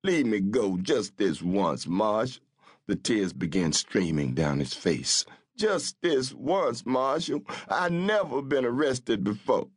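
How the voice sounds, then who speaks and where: Male narrator playing a frightened suspect, high and husky, desperate and begging. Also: sing-song, normal speed, dry recording, studio quality. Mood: pleading. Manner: desperate, begging, broken grammar. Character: frightened pleading middle-aged man.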